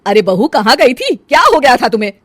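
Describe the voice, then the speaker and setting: A woman voicing a bossy middle-aged mother-in-law in a cartoon story, loud, questioning and scolding. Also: fairly high pitch, sing-song, brisk, dry recording. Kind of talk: Hindi cartoon moral story.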